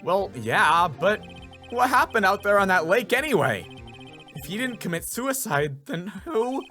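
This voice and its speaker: A man's voice: high-pitched, very animated, unhurried, bright, forceful tone, soft background music, voice pushed up, full character voice. A man voicing an earnest young defense lawyer in a video game, exclamatory and easily flustered. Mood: flustered, urgent.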